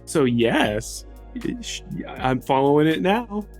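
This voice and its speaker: A man's voice: on the high side, big pitch swings, slow pace, faint music behind. A man playing a tabletop game, talking casually as he declares his moves. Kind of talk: casual chat during a game.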